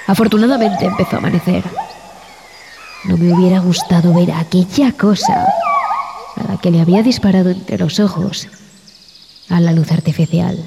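An actress playing a haunted explorer who tells a horror tale in a dramatic, deliberate way, in audio fiction. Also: female voice, on the low side, very animated, gravelly, background noise. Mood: fearful, tense. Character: haunted explorer recounting a terrifying jungle expedition.

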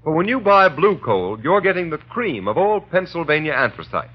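A male announcer from old-time radio, formal and emphatic, with a highish, very animated voice. The recording sounds muffled. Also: moderate pace, husky. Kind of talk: 1930s radio announcing, scripted.

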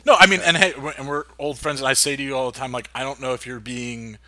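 A man's voice: mid-range voice, fairly even intonation, fast talker, crowd noise. A man co-hosting a podcast, chatting casually in an agreeable, rambling way that often stumbles over his words. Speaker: podcast co-host. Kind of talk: casual chat.

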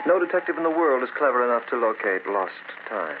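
An actor playing a solemn, philosophical narrator in a dramatic old time radio suspense show. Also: male voice, medium pitch, sing-song, quick pace, natural voice. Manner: dramatic, portentous. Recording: noisy narrowband vintage radio recording.

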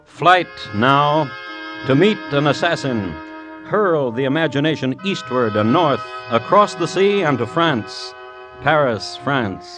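An actor as the grandiose narrator of an old radio drama, declamatory and portentous. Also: male voice, a little high, animated, slow, music in the background.

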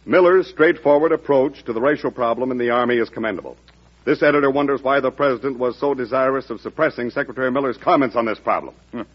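An actor playing a loyal, anxious aide in a classic radio drama, deferential but insistent, with a husky voice. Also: male voice, medium pitch, fast, natural voice. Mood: reading out, concerned. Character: loyal, anxious male American presidential aide. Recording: muffled old radio recording, faint music.

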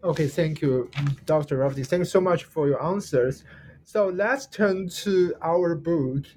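Hesitant Chinese-accented host, faint background noise. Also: male voice, on the high side, a little slow, darkish tone. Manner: polite, hesitant, restarts and apologizes. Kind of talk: interview hosting.

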